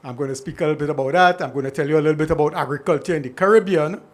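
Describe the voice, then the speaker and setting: Middle-aged Trinidadian man, keynote speaker, chatty and direct with the audience, a touch high-pitched. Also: very fast. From Trinidad and Tobago. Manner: conversational, talking to the audience, asks the room questions. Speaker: invited speaker. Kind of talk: conference keynote presentation.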